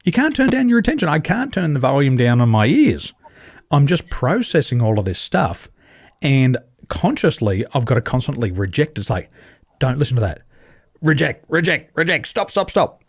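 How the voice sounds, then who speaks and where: Husky Australian man telling stories. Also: big pitch swings, normal speed, dry recording. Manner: acts out dialogue with big pitch jumps, emphatic repetitions. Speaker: podcast guest. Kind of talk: animated conversational storytelling. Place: home in Taiwan, over a video call.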